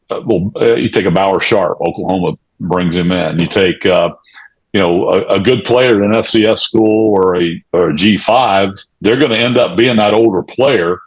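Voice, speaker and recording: A man on a sports talk show, giving unscripted analysis in a halting, conversational way. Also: lowish voice, husky, low-quality recording. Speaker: sports radio show panelist.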